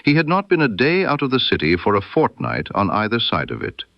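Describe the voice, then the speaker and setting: Deep-voiced man narrating a detective story. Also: huge pitch swings, fairly quick, gravelly, no room sound, muffled recording. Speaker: audiobook narrator. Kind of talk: scripted detective story narration, reading as Dr. Watson.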